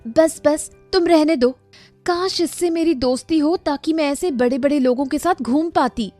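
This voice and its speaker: A woman's voice: high voice, normal speed, warm tone, no room sound, faint music behind, pitched above the performer's own voice, natural voice. A woman voicing a vain young housewife who craves luxury, whiny and dramatic, in a storybook tale. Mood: petulant. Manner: petulant, dramatic.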